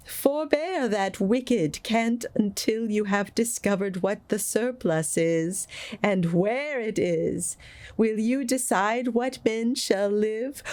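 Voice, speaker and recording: A woman voicing a solemn, stern spirit in a storybook, with slow, grave, declamatory speech. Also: fairly high pitch, a little mellow, voice pushed up. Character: solemn, stern male spirit rebuking a miser.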